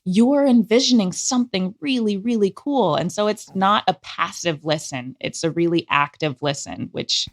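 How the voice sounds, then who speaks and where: A woman's voice: mid-range voice, moderate pace, hardly any pauses. A woman speaking as a creative guest in an interview, explaining things emphatically and with enthusiasm. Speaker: guest, audio drama creator.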